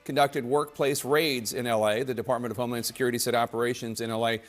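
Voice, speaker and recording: A man's voice: mid-range voice, fairly even intonation, fast, faint music behind. Man narrating a news report.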